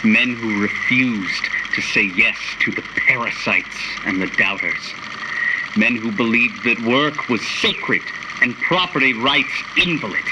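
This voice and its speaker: An actor playing an imperious, cold, grandiose city founder in a radio drama, speaking in a measured, formal, oratorical way. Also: male voice, mid-range voice, natural voice. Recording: steady background noise over voice.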